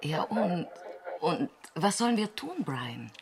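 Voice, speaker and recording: An actress playing an uneasy, insistent astronaut in a German science fiction radio drama, speaking in an earnest, urgent way. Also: female voice, fairly low pitch, sing-song, slow, very noisy, exaggerated voice. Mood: anxious, insistent. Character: uneasy, insistent female astronaut on a space mission.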